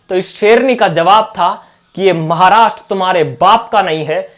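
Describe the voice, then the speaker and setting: A man presenting opinionated news commentary in an emphatic, rhetorical manner. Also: high-pitched.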